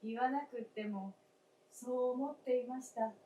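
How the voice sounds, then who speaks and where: A gentle young wife returned as a snow figure, played by an actress, speaks very slowly and politely. Her voice is mellow and wistful, in old-fashioned feminine phrasing, heard in a roomy space during a live radio play. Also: high voice. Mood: gentle confession. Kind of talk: live staged radio drama acting. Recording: roomy stage, distant mic, faint noise.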